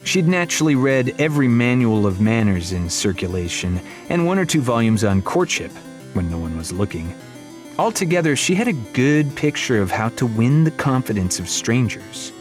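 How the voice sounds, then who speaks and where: A man's voice: medium pitch, sing-song, moderate pace. A man narrating a fantasy story for young readers in a theatrical, wry storytelling manner. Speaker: audiobook narrator. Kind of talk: dramatic scripted narration of a middle-grade fantasy. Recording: music behind the voice.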